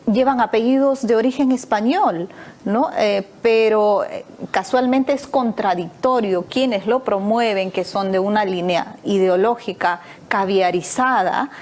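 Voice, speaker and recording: A woman hosts a talk show and lays out her arguments point by point. Her voice sits somewhat high, with frequent fillers between her ideas. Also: darkish tone. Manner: articulate, lists ideas with many fillers. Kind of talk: opinionated talk show discussion.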